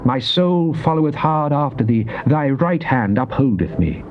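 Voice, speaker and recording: An actor playing a devout, fervent psalmist, declaiming in a solemn, measured way in a dramatized scripture reading. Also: male voice, medium pitch, animated, natural voice. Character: devout psalmist, fervent man praying to God. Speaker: scripture reader. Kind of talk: dramatized King James Bible reading. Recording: muffled old cassette with faint hiss.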